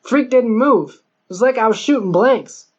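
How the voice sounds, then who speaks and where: A man's voice: very high voice, animated, normal speed, voice pushed up. A man voicing a boastful, stubborn gangster in slangy, insistent street talk for an audiobook. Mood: boastful, defensive. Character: boastful, stubborn male gangster gunman, argumentative. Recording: dull lo-fi recording.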